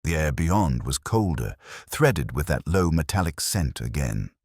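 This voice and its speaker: A man with an extremely low voice narrates scripted mystery fiction. His delivery is solemn and measured, yet the pitch moves expressively, in a dry, close sound. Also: big pitch swings, a little mellow, slightly rough, no room sound. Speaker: audiobook narrator of a detective story. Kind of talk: scripted dramatic story narration.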